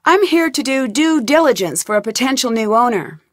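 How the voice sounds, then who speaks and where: A woman's voice: a little high, animated, moderate pace, fairly bright tone, dry recording, clean studio sound. A woman voicing a young woman detective in game voice lines, asking earnest, inquisitive questions in a polite tone. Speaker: voice actor. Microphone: studio mic, dry.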